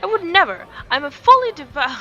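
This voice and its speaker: A woman's voice: very high-pitched, sharp tone, highs cut off, pitched above the performer's own voice, heavily acted. A woman voicing a naive, earnest young female angel, easily flustered and indignant, in a visual novel.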